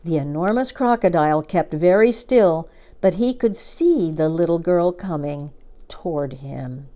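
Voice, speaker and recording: A woman storyteller reads a children's book aloud. Her voice sits fairly low, and she narrates expressively. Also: a little slow, dark tone.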